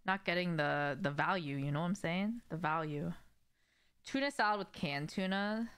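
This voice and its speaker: A young woman talks casually to her livestream viewers while she plays a game. Her voice sits a little low and sounds clean and smooth. She is conversational, addressing people directly from an indoor room. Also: normal speed, a bit bright. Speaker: live streamer.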